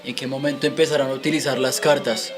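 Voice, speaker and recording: A man's voice: medium pitch, little pitch movement, quick pace, close to an everyday voice. A man playing a curious young pupil, questioning in a scripted way in an amateur educational radio play. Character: curious, easily impressed young male pupil.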